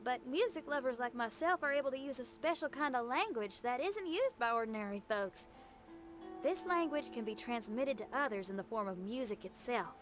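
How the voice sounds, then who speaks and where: A woman's voice: on the high side, expressive, normal speed, soft background music, natural voice. A woman voicing a cool, self-assured young musician in a video game, casual and slightly theatrical. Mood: confident. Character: cool, confident young female rock musician. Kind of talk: video game character acting.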